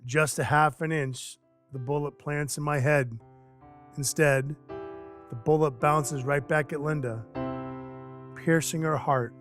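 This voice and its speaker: Wry, deadpan dwarf enforcer, acted by a man over music. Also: fairly high pitch, slow, long pauses. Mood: grave, wry. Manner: wry, deadpan, mock-polite storytelling. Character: gruff, sardonic male dwarf enforcer for Santa. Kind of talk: first-person audio drama narration with dialogue. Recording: music behind the voice.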